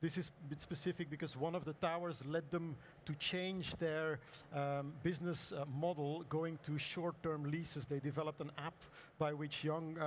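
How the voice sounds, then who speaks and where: A man with a hoarse voice presenting his architecture practice's projects at a conference, halting and clearing his throat often. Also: fairly high pitch, a little hiss or hum. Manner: hesitant, many ums and uhs, clears throat. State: hoarse, lost voice. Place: conference hall.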